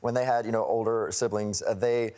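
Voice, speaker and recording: A woman's voice: a bass voice, steady tone, brisk, warm tone. A woman panelist on a daytime talk show, brassy and joking. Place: TV studio with audience.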